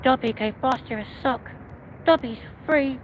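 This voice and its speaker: A man with a London accent voicing a small male house elf in a high, thin, squeaky voice. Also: unhurried. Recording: noisy city street.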